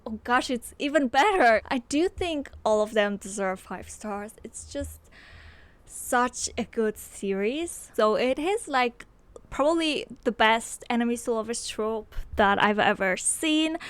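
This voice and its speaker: A woman's voice: fairly high pitch, clear, resonant tone, dry recording. A young woman, chatting enthusiastically about her favorite books and using lots of fillers, close to a handheld mic in a small room. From Poland. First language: Polish. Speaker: book reviewer. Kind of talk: casual book review vlog. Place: small bedroom with bookshelves, indoors. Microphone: handheld microphone close to mouth.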